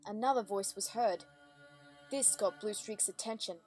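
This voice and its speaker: A woman's voice: mid-range voice, moderate pace, darkish tone. Woman narrating a story over faint music.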